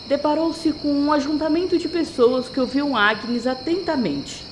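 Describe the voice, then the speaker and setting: A woman's voice: fairly high pitch, noisy background. A woman narrator reading a scripted story in a dramatic storytelling style.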